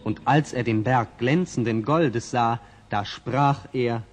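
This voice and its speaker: A man's voice: medium pitch, slow. A man narrating a fairy tale in a scripted, old-fashioned children's audio play. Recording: old vinyl record, faint noise.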